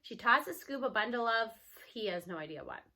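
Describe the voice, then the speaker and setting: A woman narrating a children's story aloud in an expressive read-aloud style. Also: a little high, normal speed.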